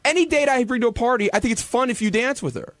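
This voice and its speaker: High-pitched, fast-talking defensive man. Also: expressive, a bit bright, no room sound. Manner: defensive, argumentative. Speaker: radio show staff member. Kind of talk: heated unscripted radio argument.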